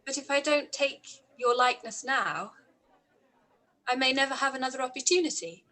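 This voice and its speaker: An actress playing a witty, proud young gentlewoman in a period radio-play reading, with arch, pointed, formal delivery. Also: high-pitched, moderate pace. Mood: probing.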